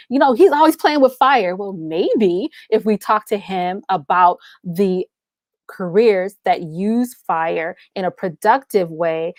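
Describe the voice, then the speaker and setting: A woman's voice: fairly low pitch, no echo. A woman guest speaking casually and enthusiastically about her creative work, explaining things with everyday examples. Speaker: guest, audio drama writer and producer.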